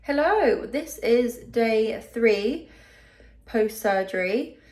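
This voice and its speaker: A young woman from the UK talking casually in a video diary, her nose blocked and congested, pausing for breath as she chats with many ums and likes. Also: fairly high pitch, lively intonation, darkish tone, reverberant. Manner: chatty, lots of ums and likes. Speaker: vlogger.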